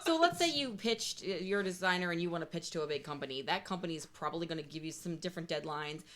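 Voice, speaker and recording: A woman with a slightly low voice talks as a game designer being interviewed. She explains in a casual, conversational way, chatty and given to acting out little quoted asides. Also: expressive, fast talker, a bit bright. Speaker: board game designer, guest.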